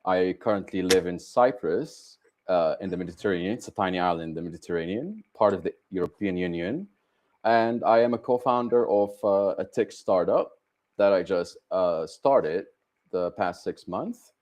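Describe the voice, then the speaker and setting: A man's voice: deep voice, moderate pace, a little mellow. A man in his thirties with an Egyptian accent, answering interview questions casually and hesitantly, with many ums and uhs. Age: 30s. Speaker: guest, tech startup co-founder.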